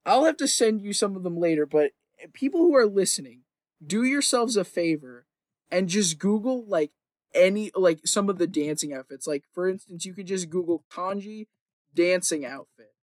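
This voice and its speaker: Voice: mid-range voice, animated, normal speed, darkish tone, no room sound. A podcast host chatting casually in an opinionated ramble, emphatic and sweary, often repeating phrases for emphasis.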